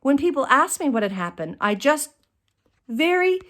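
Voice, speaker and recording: Casting: woman storyteller, middle-aged, fairly high voice with very lively swoops, theatrical delivery and dramatic pauses. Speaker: storyteller, channel host. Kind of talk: personal comedic storytelling to camera. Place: indoors, small curtained room. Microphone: phone at arm's length.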